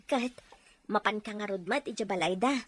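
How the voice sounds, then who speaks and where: A woman's voice: medium pitch, moderate pace, a little hiss or hum, natural voice. A woman playing an eager, chatty young single neighbour in a family drama, with an Ilocano accent. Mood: delighted, friendly. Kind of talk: acted family drama dialogue.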